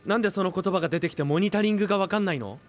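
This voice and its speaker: A man playing a sociable, tactful young straight man in an audio drama, with light casual speech and quick retorts. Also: sits high, slight background noise, close to an everyday voice. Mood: exasperated retorts. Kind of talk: anime-style audio drama acting.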